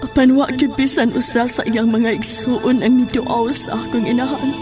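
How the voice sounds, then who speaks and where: A woman acts a grieving schoolgirl, a devoted daughter in an audio drama. The voice is high, plaintive and halting, and music plays over it. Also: fairly even intonation, close to an everyday voice. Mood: aggrieved. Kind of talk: amateur audio drama acting.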